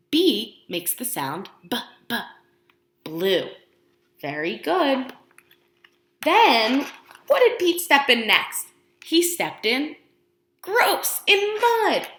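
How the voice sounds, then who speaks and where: A woman preschool teacher reading aloud to young children, encouraging them with questions and pauses, using exaggerated, expressive emphasis. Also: sits high. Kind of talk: animated children's read-aloud and lesson. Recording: roomy indoor echo.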